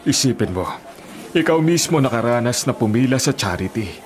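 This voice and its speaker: An actor playing a sympathetic, indignant Filipino delivery rider, an older friend, in a plain-spoken radio drama delivery. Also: male voice, mid-range voice, lively intonation, brisk, soft background music, lightly acted. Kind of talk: Tagalog radio drama acting.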